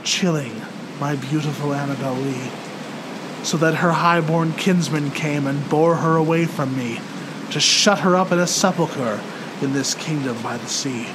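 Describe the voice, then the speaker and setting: A man narrating poetry in a theatrical, solemn and measured dramatic recitation. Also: fairly high pitch, noisy background. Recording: loud music under the voice. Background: music.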